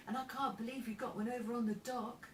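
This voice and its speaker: A woman voicing a sad, shy, gentle ten-year-old girl with a plaintive manner in a storybook reading. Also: on the high side, steady tone, fast, pitched above the performer's own voice, lightly acted. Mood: subdued, curious. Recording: roomy, distant mic, faint background noise.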